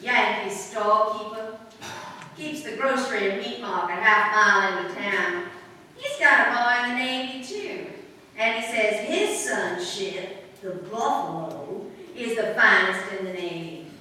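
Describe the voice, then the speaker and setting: A woman's voice: medium pitch, animated, measured pace, sharp tone. A woman playing a proud, chatty, folksy sailor's mother with a Southern American accent in a radio drama. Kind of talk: live old-time radio drama acting. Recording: distant, very echoey hall, faint noise.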